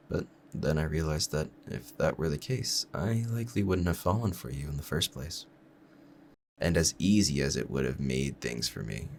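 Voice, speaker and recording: A man acts out a smitten, dangerous male assassin in a romantic audio roleplay. The voice is low and creaky, measured and formal, confiding in the listener with calm pride that is both menacing and tender. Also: a little hiss or hum.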